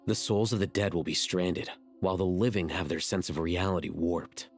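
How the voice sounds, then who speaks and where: Game role, male: low-pitched, grim, hard-headed and blunt, with faint music behind. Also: steady tone, normal speed. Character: sombre, hard-headed man facing disaster. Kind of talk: scripted video game voice acting. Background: background music.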